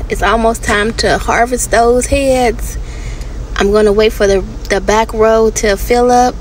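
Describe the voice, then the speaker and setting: A woman's voice: mid-range voice, a little hiss or hum, rough recording. A woman narrating a casual garden tour as channel host, speaking informally and gushing over small details.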